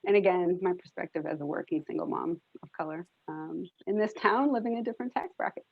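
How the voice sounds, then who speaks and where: A woman's voice: on the low side, fast talker, creaky, no echo. A middle-aged American woman introducing herself informally as a task force member on a video call with muffled audio. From Rhode Island, United States. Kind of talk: informal self-introduction in a meeting.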